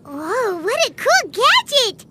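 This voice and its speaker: A woman voicing a tiny, excitable floating fairy companion in a video game, with a squeaky childlike voice and exclamatory delivery. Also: slow pace, exaggerated voice. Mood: excited. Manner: exclamatory, refers to self in third person. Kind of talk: video game character acting. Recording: music playing behind the voice.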